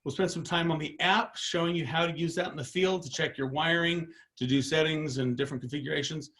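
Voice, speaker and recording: Informal middle-aged man, slightly hoarse. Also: fairly high pitch, steady tone, brisk, fairly bright tone. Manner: informal, rambling with frequent fillers and restarts. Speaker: product trainer. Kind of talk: online training webinar.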